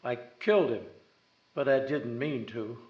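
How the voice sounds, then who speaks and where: A man plays a beat cop giving testimony in an old radio drama. His medium-pitched voice is clipped and matter-of-fact, in a roomy, slightly muffled recording. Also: animated, measured pace, close to an everyday voice. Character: plain-spoken male beat policeman testifying. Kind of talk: 1950s radio drama acting. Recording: roomy, dull band-limited sound.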